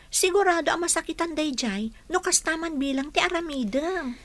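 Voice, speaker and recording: A woman's voice: extremely high pitch, moderate pace, a bit bright. A woman playing a frank, teasing young best friend in an audio drama. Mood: advising, concerned. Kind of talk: Filipino radio drama acting.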